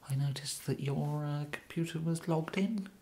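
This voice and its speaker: A young man with an English accent whispering an ASMR roleplay as an awkward, polite kidnapper, halting and apologetic, close to the microphone. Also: on the high side, vocal fry. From England. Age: young adult. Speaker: ASMR performer. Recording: steady background hiss.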